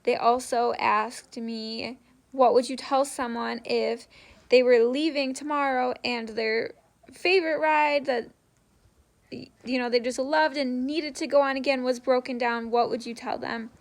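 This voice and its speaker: A woman's voice: high voice, fairly even intonation, a little slow. A young woman chatting casually to viewers as she shares interview tips, often dropping "like" into her sentences. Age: young adult. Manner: conversational, frequent "like" as filler. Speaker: vlogger sharing interview tips.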